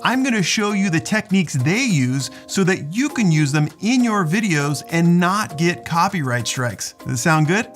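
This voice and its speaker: A man's voice: fairly high pitch, sing-song, normal speed, hardly any pauses, fairly bright tone, faint music behind. A man who works as a social media lawyer, giving an upbeat explainer and walking viewers through video clips.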